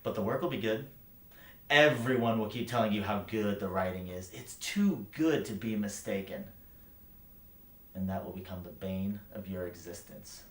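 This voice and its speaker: An actor playing a bitter, brutally frank writing teacher in a theatrical monologue, speaking with scorn, emphasis and profanity. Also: male voice, fairly low pitch, expressive, moderate pace, natural voice. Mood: grim, knowing. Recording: roomy echo, light background noise.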